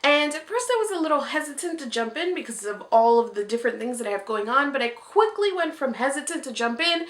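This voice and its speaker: Casting: young woman, high voice, chatty vlog host with a playful, self-mocking streak, recorded in an echoey room. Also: expressive, quick pace, few pauses. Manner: playful, self-deprecating, jokey asides. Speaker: video blogger, co-host of a read-along. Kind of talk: casual, scripted-feeling vlog chat to camera. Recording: roomy, echoey indoor sound.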